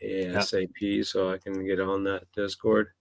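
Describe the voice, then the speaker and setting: A man's voice: low-pitched, completely flat delivery, normal speed, vocal fry. A man hosting a livestream, upbeat and greeting viewers as they join. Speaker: show host.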